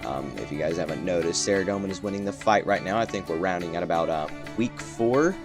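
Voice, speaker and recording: A man's voice: low-pitched, quick pace. A man hosting a gaming video, giving casual, rambling and somewhat hesitant gameplay commentary. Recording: faint music behind.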